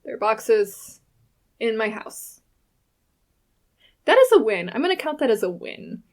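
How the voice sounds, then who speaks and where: A woman's voice: on the high side, big pitch swings, measured pace. A young woman playing a wry, scatterbrained character in an audio drama, talking through a personal journal in a chatty, self-interrupting way. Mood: chatty, upbeat. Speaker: performer of a fiction podcast. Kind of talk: audio drama monologue as a casual spoken journal.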